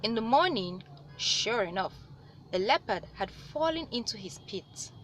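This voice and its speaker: A woman reading a story aloud as host, with animated delivery and dramatic, drawn-out emphasis on words. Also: a little high, noisy background. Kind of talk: expressive read-aloud storytelling.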